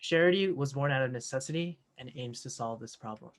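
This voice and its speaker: A young man from New York reading a prepared project pitch. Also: a little fast. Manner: reads from a prepared script. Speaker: student presenter. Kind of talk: scripted project presentation.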